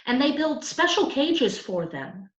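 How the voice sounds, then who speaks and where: Woman voicing an eager, high-pitched young boy. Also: very animated, measured pace. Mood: eager pleading. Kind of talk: children's storybook character reading. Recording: roomy, slightly dull sound.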